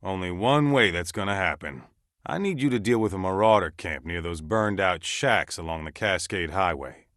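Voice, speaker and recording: A low-voiced leader of a survivor camp in a video game. He is folksy and commanding, with lively swings of pitch and plain, naturalistic delivery. The sound is close and dry. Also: moderate pace, dry recording. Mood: businesslike, calling out.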